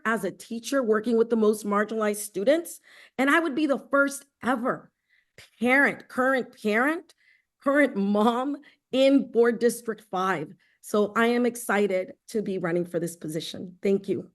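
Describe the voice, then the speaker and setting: A woman's voice: a little high, measured pace. A middle-aged woman from El Salvador, raised in Los Angeles, giving a campaign introduction as a candidate and teacher. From born in El Salvador, raised in Los Angeles. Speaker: school board candidate, teacher and union leader.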